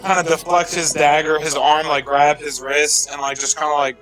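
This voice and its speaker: Brief: young man at a game table, slightly high voice, unsure and rambling. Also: little pitch movement, moderate pace, fairly bright tone, soft background music, rough recording. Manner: hesitant, full of "like". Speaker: player. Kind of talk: casual game talk.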